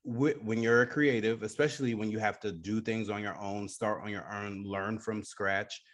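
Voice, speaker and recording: A man's voice: lowish voice, steady tone, moderate pace, a bit bright. A man with a New York accent hosting a casual radio interview.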